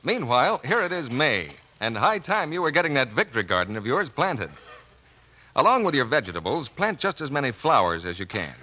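A man's voice: medium pitch, sing-song, fairly quick, bright, forceful tone, dull audio. An American man working as a radio announcer in polished 1940s broadcast style. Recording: old broadcast with faint audience noise.

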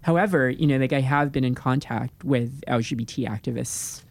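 A gravelly, creaky voice at a middle pitch. The speaker is thoughtful and measured, and often pauses on "you know" while laying out a point. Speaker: podcast guest. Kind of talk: casual podcast chat.

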